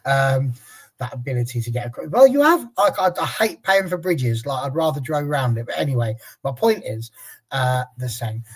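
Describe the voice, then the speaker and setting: Jokey English male panel host, husky voice. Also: mid-range voice, moderate pace. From England. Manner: jokey, self-deprecating, lively banter. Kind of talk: casual panel chat.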